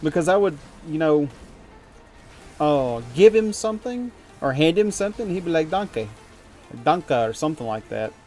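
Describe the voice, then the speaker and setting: A man's voice: a little high, big pitch swings, normal speed, a little mellow, soft background music. Chatty man streaming to his viewers. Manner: rambling, chuckling, self-deprecating. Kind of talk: casual chat with viewers while gaming.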